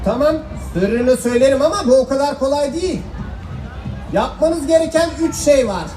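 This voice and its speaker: A man's voice: extremely high pitch, lively intonation, normal speed, dark tone, some chatter behind. A man playing a cheeky, clever young trickster boy, projecting playfully to children in a fairy-tale stage show. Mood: sly. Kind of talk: children's stage musical acting. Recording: echoey hall stage sound, music, audience.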